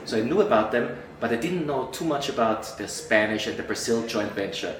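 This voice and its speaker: A middle-aged man from Austria, an entertainment journalist answering interview questions, animated and acting out quoted colleagues, with frequent restarts. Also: medium pitch, fairly quick. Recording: echoey room, voice a bit far from mic.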